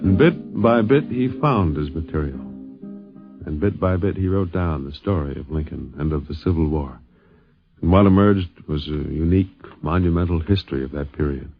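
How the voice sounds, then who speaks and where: A deep-voiced man reads scripted 1950s radio narration. His tone is slightly husky, on a dull old broadcast recording with faint music underneath. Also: normal speed. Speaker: documentary narrator.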